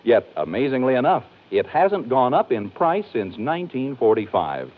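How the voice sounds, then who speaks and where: A man's voice: medium pitch, very animated, moderate pace. A man with an American accent reading scripted 1940s radio announcements in a polished, emphatic way. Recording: tinny old radio broadcast recording.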